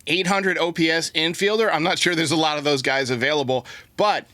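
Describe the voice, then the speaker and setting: A man's voice: a little high, fairly even intonation, a little fast, pushed and bright, no room sound, clean studio sound. A man hosting a sports podcast, giving blunt, opinionated baseball commentary and answering listener voicemails.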